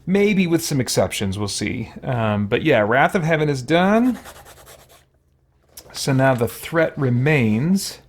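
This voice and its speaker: A man's voice: mid-range voice, expressive, slow pace. A man giving casual game playthrough commentary, rambling and thinking aloud as he goes. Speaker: gaming streamer. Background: quiet music.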